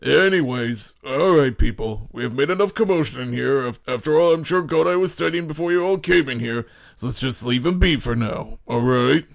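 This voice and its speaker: A man playing a stern, sardonic schoolteacher in an amateur anime audio drama, formal and cutting with a gravelly voice. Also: mid-range voice, normal speed, dry recording, studio quality, natural voice.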